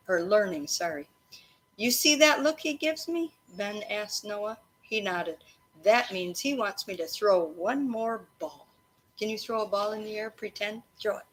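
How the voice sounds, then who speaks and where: A woman teacher reading a storybook aloud to children expressively, pausing to explain and prompt her listeners. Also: medium pitch, sing-song, rough recording. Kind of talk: interactive children's read-aloud of a storybook, with questions and asides. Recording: faint background noise.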